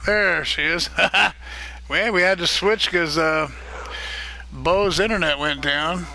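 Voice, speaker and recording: A man hosting a live stream of a multiplayer farming game, chatting casually and greeting viewers by name, his voice getting a little hoarse. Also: fairly high pitch, slow pace, bright, forceful tone, slight background noise, low-quality recording. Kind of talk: casual live-stream chat while gaming.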